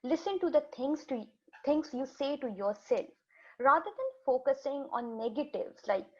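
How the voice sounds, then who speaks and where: A woman whose first language is Urdu, giving a health presentation to students in a steady, explanatory way, often restarting and repeating words. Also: high-pitched, normal speed. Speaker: health educator presenting a webinar. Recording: dull lo-fi webinar audio.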